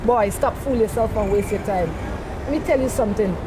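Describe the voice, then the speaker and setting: A woman's voice: mid-range voice, fairly quick, background noise. A woman hosting an advice talk show outdoors, giving blunt, scolding advice. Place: outdoors in the sun.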